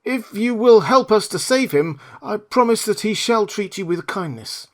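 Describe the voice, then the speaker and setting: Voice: mid-range voice, lively intonation, pitched above the performer's own voice, lightly acted. A reader putting on an eager straw scarecrow. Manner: eager, quick. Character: eager talkative straw man.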